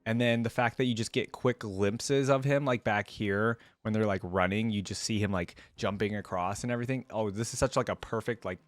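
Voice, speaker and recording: A man's voice: lowish voice, a little fast, few pauses, no echo. A man hosting a reaction video, giving casual, enthusiastic commentary with rambling asides.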